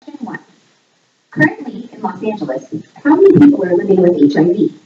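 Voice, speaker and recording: A woman reading a scripted voice-over like a playful quiz presenter, setting out each line clearly. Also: very animated, a little fast. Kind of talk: scripted voice-over narration, trivia quiz for a fundraiser. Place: voice audio played back in a room during filming. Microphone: far camera picking up played-back audio. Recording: echoey, distant, muffled low-quality playback.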